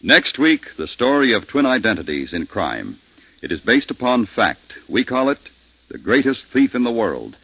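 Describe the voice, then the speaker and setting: An American man announcing in formal, measured, scripted 1950s radio style. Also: mid-range voice, very animated, slightly rough, no room sound. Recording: muffled vintage radio, loud music.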